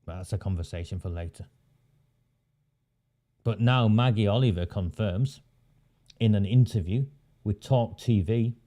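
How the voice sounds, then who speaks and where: A man's voice: lowish voice, mellow. A man from Oldham gives a political commentary monologue. He works through his points methodically, repeating them, asking rhetorical questions, and adding dry sarcastic asides. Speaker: local campaigner and commentator.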